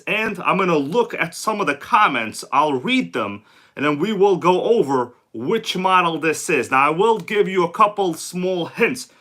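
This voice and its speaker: A man's voice: on the high side, bright, forceful tone. A man hosting a casual livestream, chatty and slangy, greeting viewers by name, with a sore throat and a congested voice. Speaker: livestream host. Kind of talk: casual live-stream chat.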